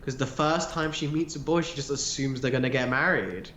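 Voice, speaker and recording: A man's voice: medium pitch, a little fast, noisy background. A man chatting casually about fandom, opinionated and emphatic, with some salty language. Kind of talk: casual fan discussion. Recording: roomy, echoey sound.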